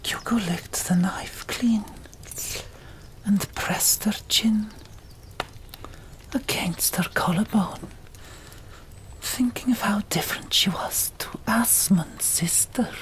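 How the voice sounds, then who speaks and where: Whispery lady doing asmr audiobook narration, really slow, breathy, lots of little pauses. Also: mid-range voice. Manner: hushed, deliberate, pausing between short phrases.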